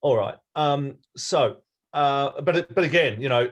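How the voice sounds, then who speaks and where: An Australian man talking over a video call in an easygoing, rambling way, circling back and restarting his sentences as he goes. His voice sits at an ordinary middle pitch for a man. He sounds like a guest speaker chatting informally with a club. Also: measured pace.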